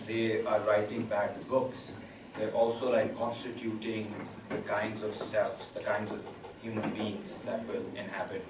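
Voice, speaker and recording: An earnest man, talking slowly on a seminar panel with lots of um and uh. He is picked up from a distance in an echoing seminar room, with steady background noise. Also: on the low side. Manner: earnest, measured, slow build with frequent um and uh. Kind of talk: academic panel discussion. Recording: roomy echo, distant mic, noisy background.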